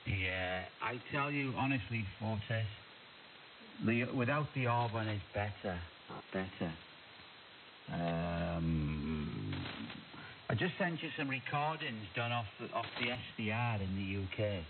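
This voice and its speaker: A man's voice: lowish voice, takes it slowly. A man chatting casually as an amateur radio operator over a shortwave link, hesitating and repeating his words. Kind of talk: casual ham radio conversation. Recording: noisy, hissy, dull shortwave radio signal.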